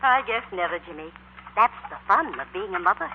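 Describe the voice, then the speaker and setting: Phone-thin noisy 1940s radio play, woman acting gentle mom, middle aged, tender n measured. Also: medium pitch, animated, natural voice. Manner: tender, measured, sentimental delivery. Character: gentle, proud, loving middle-aged widowed mother. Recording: tinny, noisy, humming 1940s radio recording.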